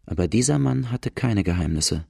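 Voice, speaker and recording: An actor playing an outlaw recounting his boyhood, as gravelly first-person narration in a radio drama. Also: fairly low pitch, expressive, normal speed, a little mellow, dry recording, studio quality, full character voice. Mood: reflective.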